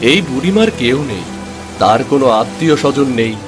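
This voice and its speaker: A man's voice: mid-range voice, very animated, fairly quick, music in the background, close to an everyday voice. A man voicing a good-hearted, eager poor village husband, earnest and folksy, in a cartoon folk tale. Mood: earnest, pleased.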